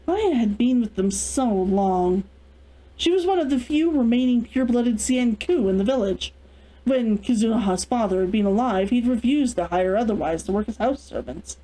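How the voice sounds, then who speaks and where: A woman reads a story aloud as the host of a read-along. Her pitch is on the high side. Her narration is expressive, rising and falling in a lively way. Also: poor audio.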